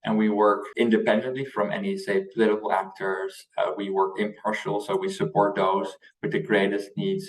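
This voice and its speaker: A man's voice: fairly low pitch, level tone, normal speed, few pauses, reverberant, far from the mic, poor audio. A young man with a Dutch accent, a native Dutch speaker, answering questions as an interview guest in a conversational way. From Netherlands. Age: young adult. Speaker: interview guest, youth organization leader.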